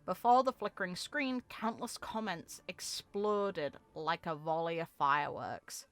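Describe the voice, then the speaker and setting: A woman's voice: fairly low pitch, measured pace, a bit bright, no echo. A woman reading a story aloud expressively, with casual offhand asides in the manner of a gaming channel host.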